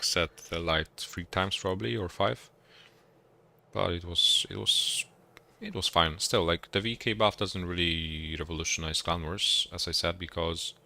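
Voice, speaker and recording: A young man from Poland with a Polish accent, a native Polish speaker chatting and commentating casually as a game live streamer in a rambling, halting way. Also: low-pitched, creaky, pushed and bright. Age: young adult. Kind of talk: casual gaming commentary and chat. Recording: noisy game battle sounds behind voice. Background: artillery fire and vehicle game sounds.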